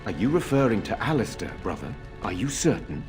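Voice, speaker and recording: An actor playing an earnest, loyal nobleman addressing his lordly brother in a fantasy game, husky and formal. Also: lowish voice, very animated, brisk, over music. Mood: concerned, deferential.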